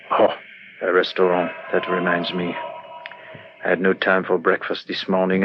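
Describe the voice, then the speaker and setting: Old noisy radio play, a clever french police detective, lowish creaky voice, very sure of himself, theatrical and musing. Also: male voice, muffled recording. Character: shrewd, self-assured French police detective on a manhunt. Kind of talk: old-time radio drama monologue and dialogue.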